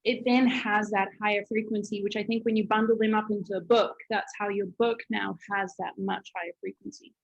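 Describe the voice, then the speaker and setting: A woman's voice: mid-range voice, steady tone, a little fast, creaky, hardly any pauses, highs cut off. A woman co-hosting an interview, talking in an affirming, enthusiastic way over a video call. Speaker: co-host. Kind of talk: conversational interview.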